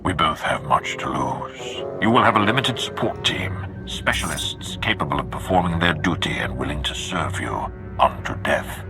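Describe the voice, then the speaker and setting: An actor playing a grave, commanding Sith lord in a video game, speaking formally and deliberately. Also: male voice, extremely low pitch, animated, over music. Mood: stern warning. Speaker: military leader giving a mission briefing. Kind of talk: video game cutscene acting.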